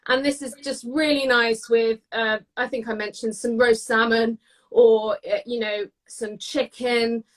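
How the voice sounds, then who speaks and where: A British woman giving a casual live cooking demonstration, chatty and descriptive, often pausing mid-phrase as she explains. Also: fairly high pitch, flat delivery. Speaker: guest cook demonstrating a recipe.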